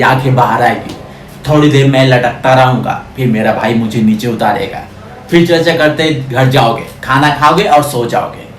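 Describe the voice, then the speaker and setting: A man's voice: medium pitch, close to an everyday voice. Man acting a bitter condemned man, echoey and distant. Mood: bitter scorn. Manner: scornful, declamatory, repeating words for emphasis. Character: bitter, defiant condemned man facing the gallows, scolding the crowd. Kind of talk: theatrical film monologue. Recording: echoey, distant, noisy audio.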